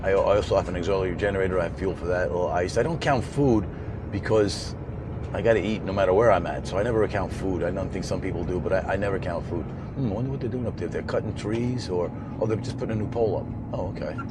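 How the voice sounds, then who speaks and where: A hoarse man with a somewhat low voice rambles to his viewers from behind the wheel, muttering at slow drivers between thoughts. Heavy road noise rumbles under everything he says. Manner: rambling, talks to viewers while driving, mutters at traffic. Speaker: vlogger driving home from a race. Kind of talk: casual driving vlog chat. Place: inside a moving vehicle on the road. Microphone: camera mounted in the vehicle.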